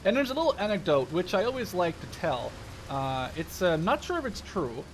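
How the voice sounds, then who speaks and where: A man in his twenties with a rather high-pitched voice commentates a game in a casual, informal way. He rambles and drifts into storytelling asides. Vehicle and game noise runs faintly behind his voice. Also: big pitch swings, a little fast, rough recording. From Netherlands. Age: 20s. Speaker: gaming video host.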